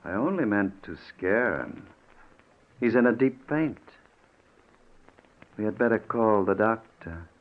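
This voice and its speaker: A menacing, vengeful man with a low voice in a vintage radio thriller. He taunts deliberately, with a very animated line. The sound is dull, like an old broadcast. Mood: defensive. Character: vengeful male killer, cold, taunting, menacing. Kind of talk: 1940s radio acting.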